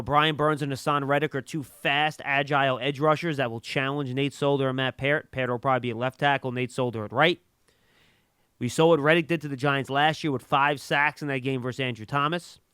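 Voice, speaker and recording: A man's voice: mid-range voice, steady tone, fairly quick, fairly bright tone, clean tone, no room sound, clean studio sound. A man hosting a sports podcast, giving conversational, analytical game preview commentary. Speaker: podcast host.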